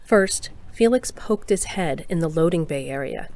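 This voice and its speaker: A woman's voice: sits low, lively intonation, normal speed, a little mellow. A woman playing the composed narrator of an audio drama, reading scripted story narration. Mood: matter-of-fact.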